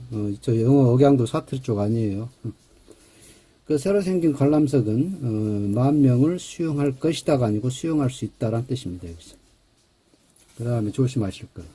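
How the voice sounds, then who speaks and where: Mellow, husky-voiced male grammar lecturer. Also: medium pitch. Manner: explains step by step, reads English example sentences slowly.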